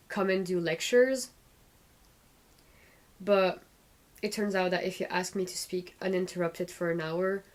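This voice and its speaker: A woman hosting a casual knitting podcast, rambling and restarting her sentences as she knits, her voice a little croaky. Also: fairly low pitch. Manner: rambling, self-correcting, chatty. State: slightly croaky voice. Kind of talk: casual knit-and-chat vlog.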